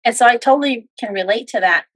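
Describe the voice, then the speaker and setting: A woman's voice: on the high side, fast, no echo. Middle-aged woman host, dry close sound. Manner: warm, relating with personal stories. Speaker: podcast host. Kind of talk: casual interview talk.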